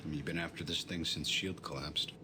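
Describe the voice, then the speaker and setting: An actor playing a breezy, self-assured inventor superhero in a video game cutscene. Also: male voice, very deep voice, steady tone, normal speed, loud music behind the voice.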